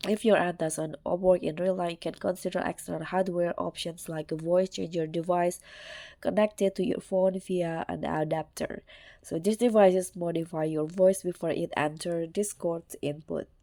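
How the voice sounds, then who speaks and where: Mellow low-voiced woman hosting a tutorial. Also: steady tone, moderate pace, dry recording. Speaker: tutorial host. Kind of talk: casual step-by-step tech tutorial narration.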